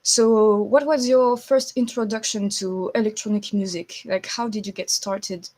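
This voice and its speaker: A woman's voice: medium pitch, fairly even intonation, a little slow, darkish tone. A woman interviewing a guest, asking questions a little hesitantly.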